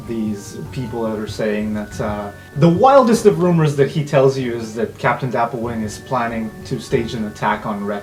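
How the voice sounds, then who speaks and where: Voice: medium pitch, darkish tone. A game master narrating an improvised tabletop role-playing game in an unscripted, conversational way. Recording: music behind the voice. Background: background music.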